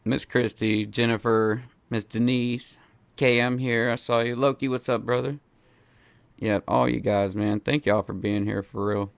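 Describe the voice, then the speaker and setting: Gravelly hoarse man hosting a video-call show. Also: medium pitch, steady tone, measured pace, no room sound. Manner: laid-back, slangy, earnest. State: hoarse from a cold. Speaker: host. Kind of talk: casual video-call interview. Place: home studio with foam panels. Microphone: studio mic in frame.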